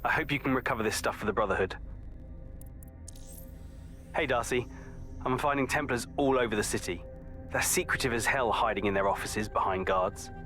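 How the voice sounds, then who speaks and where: A man's voice: medium pitch, normal speed, long pauses, pushed and bright, soft background music, close to an everyday voice. An actor playing a determined, headstrong young male assassin leaving an earnest briefing in a video game audio log. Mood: concerned, earnest.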